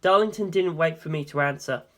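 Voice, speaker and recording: A woman's voice: sits low, brisk, a bit bright. A woman reading scripted story narration in the first person. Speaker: audiobook reader.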